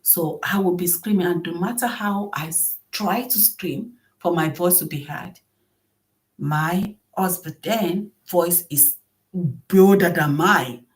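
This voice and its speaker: A middle-aged woman with a soft, somewhat low voice hosts a solo talk on marriage. She speaks earnestly and keeps circling back to her main points, repeating phrases to stress them. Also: slow, low-quality recording. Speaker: podcast host.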